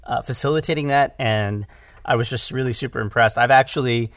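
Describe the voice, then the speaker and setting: A man's voice: mid-range voice, a bit bright, dry recording. Man in casual, dry close-sounding conversation. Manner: effusive, halting with repeated phrases. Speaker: podcast speaker, audio drama director.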